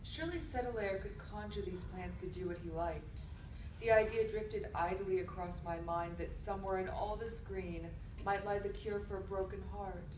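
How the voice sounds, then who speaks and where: A woman's voice: medium pitch, moderate pace, lightly acted. Troubled young woman narrator, distant and echoey acted. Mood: pensive, agitated. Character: troubled, yearning young woman narrating her own story. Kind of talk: dramatic first-person narration in staged radio theatre. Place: indoor convention performance before microphones. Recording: roomy, noisy live stage, distant mic.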